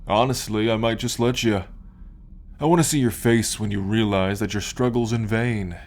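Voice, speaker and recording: A man playing a smug, possessive alien captor in an ASMR roleplay, speaking in a condescending, taunting and menacing drawn-out way. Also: on the low side, fairly even intonation, a little mellow, close to an everyday voice. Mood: amused, taunting. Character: smug, possessive male alien abductor and collector. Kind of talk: ASMR roleplay, slow dramatic monologue.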